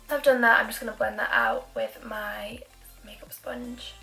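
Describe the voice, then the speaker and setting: A woman's voice: a little high, big pitch swings, normal speed, pushed and bright, soft background music, rough recording. A young woman with a Manchester accent, chatting through a makeup tutorial with self-deprecating asides. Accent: Mancunian. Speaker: beauty vlogger.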